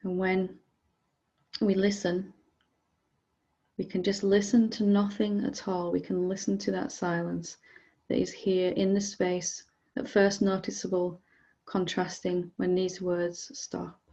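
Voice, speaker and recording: A woman guides a meditation in a low, soft-edged voice with a mellow tone. She speaks calmly and gently, leaving space between phrases as she instructs. The recording is slightly dull and muffled. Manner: gentle, calm, unhurried with long pauses. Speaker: meditation teacher.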